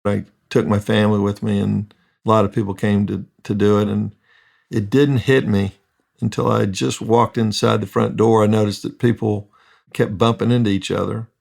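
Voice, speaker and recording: A man's voice: lowish voice, flat delivery, moderate pace, vocal fry, a little mellow. A man speaking as a construction project manager, telling earnest, reflective stories in an interview.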